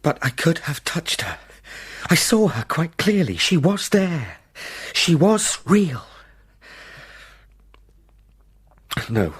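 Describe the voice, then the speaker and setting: An actor playing a rattled young solicitor in a radio drama, earnest, precise and anxious. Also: male voice, on the high side, very animated, takes it slowly, fairly bright tone, slightly rough, exaggerated voice. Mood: insistent, uneasy. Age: young adult. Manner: earnest, precise, talking to himself.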